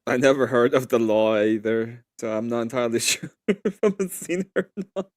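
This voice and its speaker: Man, mid-pitched, crude jokey banter, dry close sound. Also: fairly quick, darkish tone, dry recording. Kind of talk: casual group chat.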